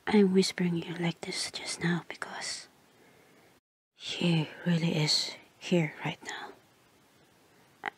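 Woman acting a slow, blunt tsundere girl. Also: on the low side, steady tone, slightly rough, full character voice. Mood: secretive, worried. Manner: hesitant, blunt, often dropping into whispers. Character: tsundere girl, childhood friend, flustered and blunt. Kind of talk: ASMR roleplay.

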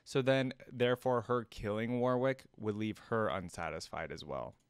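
A man's voice: lowish voice, moderate pace, clean tone, dry recording, clean studio sound. A young man hosting a reaction video, giving casual commentary. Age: young adult. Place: indoors at a desk in a gaming chair.

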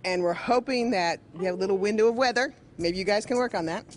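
A woman's voice: mid-range voice, fast talker, slight background noise. Middle-aged woman explaining museum exhibits. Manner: friendly, explanatory. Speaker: museum guide. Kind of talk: interview answers. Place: large indoor museum hall. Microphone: reporter's handheld mic.

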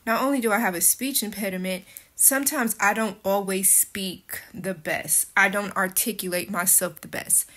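A woman's voice: mid-range voice, steady tone, moderate pace, pushed and bright. Candid woman vlogging from her kitchen. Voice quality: speech impediment. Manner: candid, blunt, casual with cursing. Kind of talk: casual storytelling to camera. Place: kitchen and living room at home, indoors. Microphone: phone camera held close.

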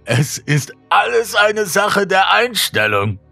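A man voicing an eerily cheerful ragged stranger in a horror story, with a drawn-out, sugary delivery. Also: sits high, big pitch swings, gravelly, pushed and bright, no room sound, soft background music, pitched above the performer's own voice, exaggerated voice. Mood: beaming, enticing.

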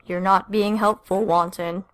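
A woman voicing a calm, responsible young male superhero team leader in an audiobook. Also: medium pitch, unhurried, no echo, close to an everyday voice. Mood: firm, calm.

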